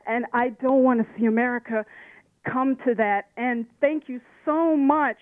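High-pitched woman on telephone. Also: a little slow, dry recording. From Romania. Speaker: phone-in caller. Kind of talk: earnest personal call-in remarks. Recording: narrow-band phone line.

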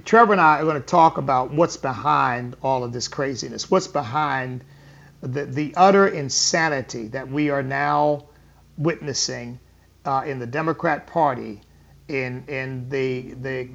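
A man's voice: mid-range voice, unhurried, gravelly, fairly bright tone, lo-fi sound. A man hosting a political talk show, emphatic and repetitive, addressing listeners as folks. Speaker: radio talk show host. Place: hotel or venue on tour.

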